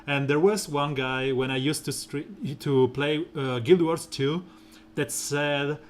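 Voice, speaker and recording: A man streaming a mobile game, talking through team strategy in a relaxed, rambling way with lots of fillers and a soft, smooth voice. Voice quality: soft, smooth and deep when slow. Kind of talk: casual gameplay commentary.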